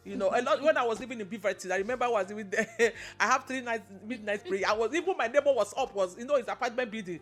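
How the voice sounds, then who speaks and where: Loud, high-voiced Nigerian woman guest, quick, emphatic podcast chatter. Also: soft background music. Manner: emphatic, repeats herself, animated storytelling. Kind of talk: casual podcast chat.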